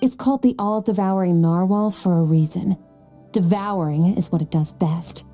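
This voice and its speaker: A woman voicing an aloof, stoic young warrior in a video game, speaking in a cool, deadpan, formal manner. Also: lowish voice, normal speed, lightly acted. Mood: grave, explanatory. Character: aloof, stoic, powerful young swordswoman from the abyss. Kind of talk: anime-style game voice acting. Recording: music behind the voice.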